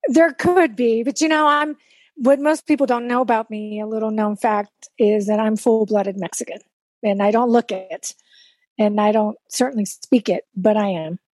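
A woman's voice: a little high, fairly even intonation, moderate pace, a little mellow, no room sound. A woman from South Texas whose first language is Spanish, telling her story openly as an interview guest. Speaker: guest, coach and speaker. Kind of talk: casual interview chat.

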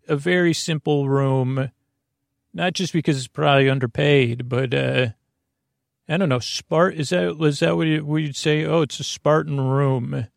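A man with a gravelly voice hosting a sleep podcast, rambling through a bedtime monologue, over-explaining and trailing off mid-thought. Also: medium pitch, no echo, studio quality. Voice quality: gravelly, creaky. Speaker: podcast host.

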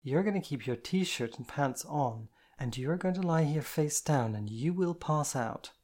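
A reader voicing a calm, caring dominant woman who speaks firmly and gently, in measured commanding sentences. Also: medium pitch. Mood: soothing, stern.

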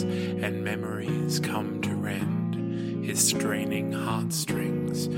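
A man reciting poetry expressively, in a measured and dramatic way. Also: fairly low pitch. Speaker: poetry podcast reader. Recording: loud guitar music behind voice. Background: acoustic guitar music.

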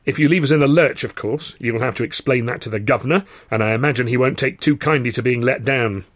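A man's voice: mid-range voice, quick pace, clean studio sound, natural voice. A man voicing a stern, pompous music-hall boss in a story, formal, clipped and commanding. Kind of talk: audiobook character voice.

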